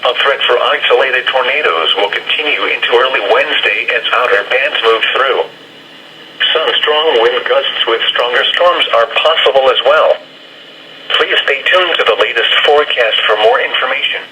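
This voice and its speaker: A man reading a scripted weather bulletin in a formal, measured way, heard through a radio speaker. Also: medium pitch, noisy background.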